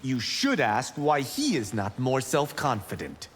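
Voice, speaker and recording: An actor playing a boastful, brash young warrior monk in video game banter. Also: male voice, medium pitch, wildly swooping pitch, unhurried. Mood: cocky. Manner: proud, brash, self-assured. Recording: long hall reverb, clear background noise.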